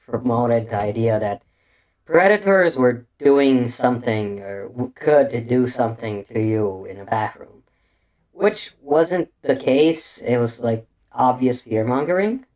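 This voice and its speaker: A man's voice: mid-range voice, lively intonation, a little slow, a little mellow. A man living in Bulgaria with an unusual accent, rambling through a casual, crude commentary full of restarts and fillers. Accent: unusual non-native English accent.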